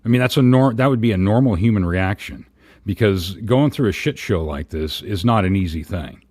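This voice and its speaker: A man with a low voice gives casual, opinionated commentary. His manner is blunt and profane, and he tends to ramble. The sound is dry, with little room on it. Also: lively intonation, normal speed, darkish tone, dry recording. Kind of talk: casual opinionated livestream chat.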